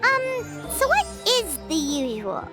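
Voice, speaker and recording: A woman voicing a tiny, excitable childlike fairy companion in a video game, squeaky and referring to herself in the third person. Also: slow pace, heavily acted. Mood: miffed. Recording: loud game music behind.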